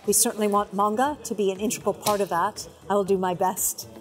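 A woman's voice: medium pitch, steady tone, fairly quick, over music. A middle-aged woman, a curator, explaining her work in an on-camera interview. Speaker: exhibition curator and research director. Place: indoors in a museum exhibition gallery.

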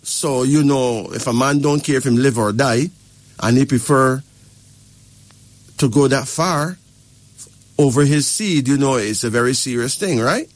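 A man holds forth like an adviser, explaining at length and pausing to ask if he is followed. His voice sits at an ordinary middle pitch. The sound is close and dry, with no room around it. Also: no echo. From Barbados. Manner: lecturing, keeps checking with "right?" and "okay?". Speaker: spiritual advisor. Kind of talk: casual advice talk.

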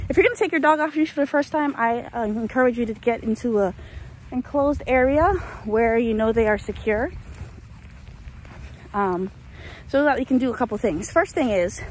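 A young woman with a slightly high voice chats and rants while walking her dog across an open field. She holds her phone at arm's length, and the outdoor recording carries a faint hiss of rain and open air. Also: low-quality recording. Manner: chatty, ranting, laughs often. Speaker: dog trainer vlogger. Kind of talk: walk-and-talk vlog. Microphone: phone held at arm's length.

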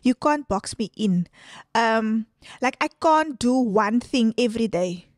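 A woman's voice: a little high, animated, unhurried, no echo, studio quality. A woman in her thirties with a South African Afrikaans accent, an Afrikaans native speaker, talking candidly and animatedly as a guest in a casual podcast interview, slipping into Afrikaans now and then. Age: 30s. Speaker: guest influencer. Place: small studio set with sofa. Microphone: boom mic on stand.

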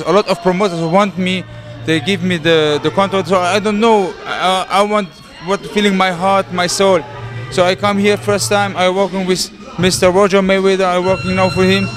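A man in his thirties from the Middle East with a German accent, a heavyweight boxer answering in earnest, broken English into a handheld mic in an indoor gym. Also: sits high. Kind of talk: interview answers. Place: large boxing gym, indoors. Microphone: handheld interview mic. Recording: clear background music. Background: music in the gym.

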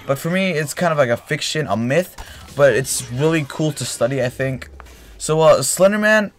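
A young man with a cold, casually telling a story while rambling with fillers and correcting himself. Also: mid-range voice. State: getting a cold, clears throat. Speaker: gaming channel host. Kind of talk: casual storytelling over gameplay. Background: faint game gunfire.

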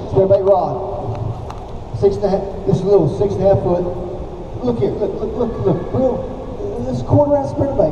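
An older man from Oklahoma, a folksy, emphatic pro angler giving a live seminar and often checking in with his listeners. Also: high-pitched. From Oklahoma, United States. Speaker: professional angler giving a demonstration. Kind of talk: live fishing seminar to an audience. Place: large indoor exhibition hall. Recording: echoey hall from far off, dull, noisy.

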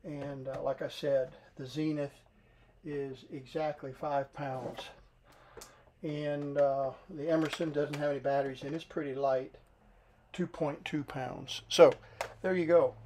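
A man's voice: on the high side, flat delivery, measured pace, darkish tone, a little hiss or hum. A middle-aged American man hosting a casual hands-on repair demonstration, hesitant and thinking aloud as he works. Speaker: host of a vintage radio repair channel. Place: indoor workshop with electronics benches.

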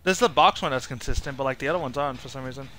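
A man's voice: mid-range voice, racing, sharp tone, poor audio. A man giving casual live commentary as he streams a game, muttering mildly frustrated asides to himself. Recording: clear game noise behind voice. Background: horror game sound effects and ambience.

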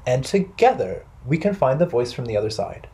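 A man's voice: mid-range voice, very animated, normal speed, warm tone, close to an everyday voice. A friendly little beaver from a children's storybook, voiced by a man. He speaks earnestly and eagerly in simple phrases, with plenty of rise and fall. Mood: earnest, inviting. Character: small, lonely, friendly young male beaver.

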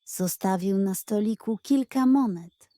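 A woman's voice: medium pitch, unhurried, warm tone, no echo, studio quality. A woman narrating a scripted children's bedtime story as a storyteller.

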